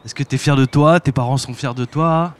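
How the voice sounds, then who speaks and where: A man's voice: mid-range voice, moderate pace, clear, resonant tone. Man, podcast interviewer, casual slangy questions, short reactions.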